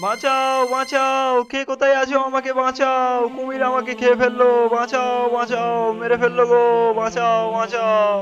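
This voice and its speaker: A man's voice: extremely high pitch, monotone, normal speed, hardly any pauses, fairly bright tone, soft background music. A man voicing a gullible, greedy fishmonger in a cartoon story, exclamatory and pleading. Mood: panicked pleading. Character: gullible, greedy male fishmonger, frightened then remorseful. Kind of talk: Bengali cartoon story dubbing.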